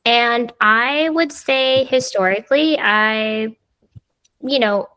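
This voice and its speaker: A woman's voice: on the high side, takes it slowly, sharp tone, clear, resonant tone, no room sound, lo-fi sound. A woman, a podcast guest talking casually with lots of fillers. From United States. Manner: chatty, lots of fillers like "like" and "you know". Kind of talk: casual conversational interview.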